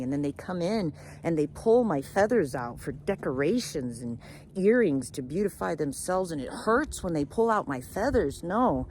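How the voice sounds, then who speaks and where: A woman's voice: fairly low pitch, mellow, a little hiss or hum, lightly acted. A woman voicing a weary, bitter male peacock in a story, emphatic and lamenting, repeating phrases for weight.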